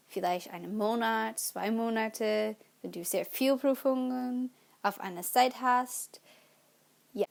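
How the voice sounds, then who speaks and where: A young woman with an English accent talks slowly and haltingly, stopping to fix her words as she goes. Her voice sits a little high. She hosts a study-tips video in a casual, unhurried way. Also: a little mellow. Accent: English-speaking learner of German. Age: young adult. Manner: slow and halting, with pauses and self-corrections. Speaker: student channel host.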